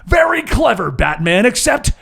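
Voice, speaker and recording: Man doing smug evil masked villain, theatrical, gloating, high pitch, big swings, superhero game. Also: sing-song, normal speed, gravelly, a bit bright, breathy edge, soft background music, full character voice. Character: grinning evil Batman, a smug scheming male villain.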